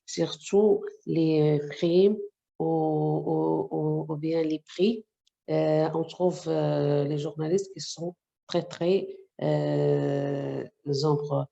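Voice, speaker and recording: A woman journalist whose first language is Arabic, speaking haltingly with many hesitations in a webinar discussion over a video call. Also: sits low, fairly even intonation, vocal fry, mellow, highs cut off. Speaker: journalist, section editor.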